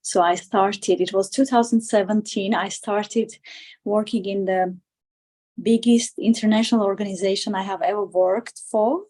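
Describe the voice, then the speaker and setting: A woman, answering conversationally as an interview guest, haltingly, often repeating and rephrasing her words. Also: mid-range voice, fairly even intonation, darkish tone. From Armenia. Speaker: interview guest, political candidate.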